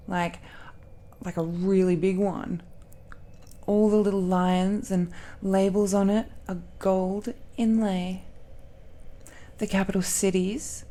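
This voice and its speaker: A woman's voice: mid-range voice, mellow. A woman playing an affectionate, teasing dragon-blooded girlfriend in an intimate romantic audio roleplay, speaking in a coy and playful way. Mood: boastful, delighted. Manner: slow, playful, giggly, coy.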